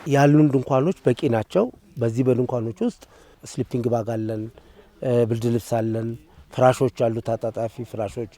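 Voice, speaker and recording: A man who organizes a program, explaining its logistics in a brisk, matter-of-fact way. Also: mid-range voice, steady tone, warm tone.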